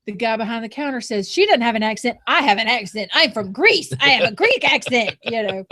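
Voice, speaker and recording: A woman's voice: a little high, animated, a little fast, pushed and bright. A woman, co-hosting a podcast and chattily telling casual anecdotes. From North Carolina, US South. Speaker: podcast co-host.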